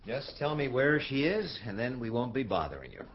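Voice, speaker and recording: An actor playing a hard-boiled, wisecracking American detective, laconic and dry, in dialogue and noir narration for a radio drama. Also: male voice, medium pitch, very animated, brisk, lo-fi sound, slight background noise, lightly acted. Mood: firm, pressing.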